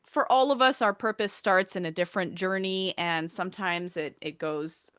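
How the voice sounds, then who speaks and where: A woman's voice: on the low side, moderate pace, a bit bright, clean tone, dry recording, clean studio sound. A woman hosting a podcast interview, asking questions in an encouraging, friendly way. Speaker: podcast host.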